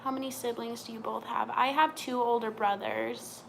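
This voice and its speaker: A young woman with a slightly high voice chats on a home live stream and answers viewer questions in a relaxed, friendly way. A faint hiss of room noise sits under her voice. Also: normal speed, vocal fry, poor audio. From Montana.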